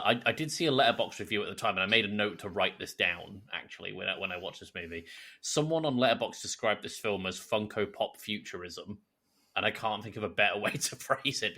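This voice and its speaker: A man with a lowish but bright, forceful voice talks as a podcast co-host. He gives casual opinions in a wry, emphatic way. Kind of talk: casual, opinionated review chat.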